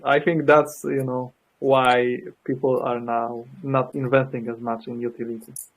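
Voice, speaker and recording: A man's voice: medium pitch, flat delivery, a little slow, rough recording. Hesitant man telling an anecdote. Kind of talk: casual chat.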